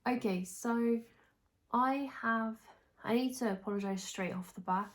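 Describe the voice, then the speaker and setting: A young woman from England hosting a knitting podcast, chatting casually while she sounds nasal and hoarse from a recent illness, with a rambling, restarting way of talking. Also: on the high side, measured pace. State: hoarse, congested, recovering from illness. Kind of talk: casual chat to camera.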